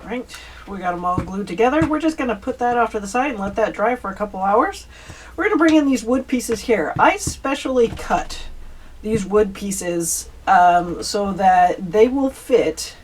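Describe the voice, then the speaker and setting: A woman's voice: mid-range voice, expressive, moderate pace. Woman, craft tutorial host, informal and chatty, step-by-step explaining, distant mic, faint background noise. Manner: chatty, informal, addresses viewers as you guys. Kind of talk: casual step-by-step craft demonstration.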